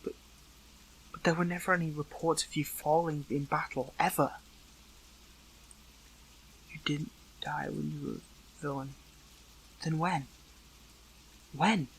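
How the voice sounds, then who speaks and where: A man playing an earnest, hurt captive superhero in an audio roleplay, speaking in halting, pleading phrases. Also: fairly high pitch, steady tone, vocal fry, fairly bright tone, slight background noise, poor audio. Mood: shocked, hurt. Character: captive male superhero, earnest, hurt, questioning an old friend. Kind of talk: dramatic audio roleplay acting, one side of a dialogue.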